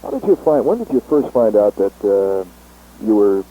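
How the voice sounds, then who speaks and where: A man's voice: lowish voice, sing-song, moderate pace, dark tone. A man conducting a conversational celebrity interview, putting questions to his guest. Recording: narrow, phone-like archival radio tape.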